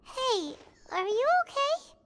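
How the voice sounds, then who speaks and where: A woman voicing a tiny, excitable, childlike fairy companion in an anime-style game. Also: extremely high pitch, takes it slowly, heavily acted. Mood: curious. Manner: refers to itself in third person, exclamatory.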